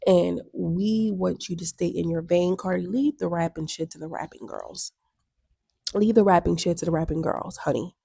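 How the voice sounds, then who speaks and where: Sassy gravelly-voiced woman vlogger. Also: lowish voice, moderate pace. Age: 30s. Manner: sassy, blunt, animated with frequent ums and asides. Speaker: music commentary vlogger. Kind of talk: casual opinion commentary.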